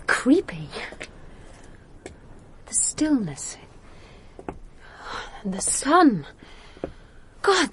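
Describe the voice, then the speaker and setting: With some noise behind, an inquisitive police colleague in radio drama. She speaks plainly, in a fairly high voice with lively intonation. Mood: uneasy. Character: questioning, uneasy female colleague of a police inspector.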